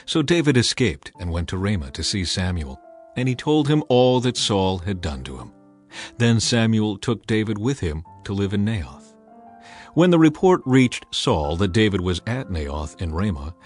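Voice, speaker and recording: A man narrating a dramatized scripture reading in a measured, solemn storytelling manner. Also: fairly low pitch, big pitch swings, faint music behind.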